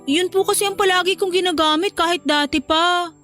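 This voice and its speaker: An actress playing a dutiful but jealous young wife in a radio drama. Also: female voice, very high voice, fairly even intonation, fairly quick, a bit bright, soft background music. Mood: meek, apologetic. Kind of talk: Filipino radio drama acting.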